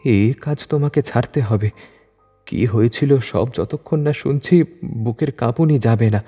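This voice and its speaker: Casting: pleading young woman voiced by a man for audio story, breathy and dark, long reverb. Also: mid-range voice, unhurried, soft background music. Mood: anxious. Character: Maya, anxious young woman, pleading and insistent. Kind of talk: dramatised audio story dialogue.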